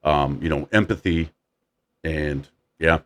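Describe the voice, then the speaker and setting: A man with a deep voice hosting a casual chat, animated as he tells stories and acts out quotes. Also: slow. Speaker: podcast host.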